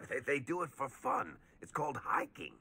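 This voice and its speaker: A man's voice: mid-range voice, very animated, measured pace, bright, forceful tone, slightly rough, heavily acted. An actor playing a wry, chatty male companion in a fantasy game, in a conversational style.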